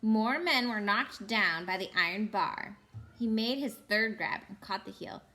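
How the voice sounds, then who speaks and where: A woman storyteller reading a children's novel aloud with expressive, dramatic emphasis. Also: medium pitch, measured pace. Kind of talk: expressive children's audiobook narration.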